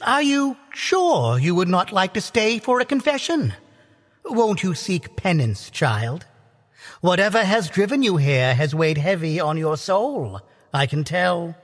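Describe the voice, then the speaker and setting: Slow, echoing, solemn older priest played by an actor. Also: medium pitch, very animated. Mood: solemn, probing. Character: older priest, solemn, menacing zealot offering last rites. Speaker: actor in an audio drama. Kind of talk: audio drama acting. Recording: long church-like reverb.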